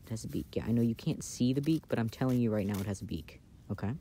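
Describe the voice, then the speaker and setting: A man playing an affectionate, playful boyfriend in a casual, intimate ASMR roleplay, speaking softly and hesitantly, recorded outdoors. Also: mid-range voice, fast talker, close to an everyday voice. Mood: playful, teasing. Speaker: amateur audio roleplay performer. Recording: outdoor ambient noise.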